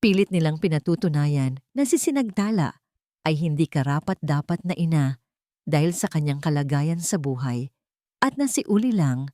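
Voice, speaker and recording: A Filipino woman narrates a scripted dramatic story. Her voice sits fairly low for a woman. The sound is very dry, with no room around it. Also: expressive, moderate pace, mellow, dry recording.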